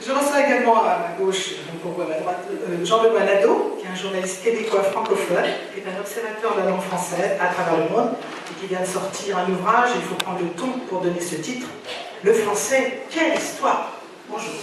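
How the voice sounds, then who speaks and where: Guy moderating a debate, high voice, polite and a bit playful, echo everywhere. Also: fairly quick. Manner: courteous, light and playful. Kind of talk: formal moderating. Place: conference hall. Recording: echoey hall from far off, background noise.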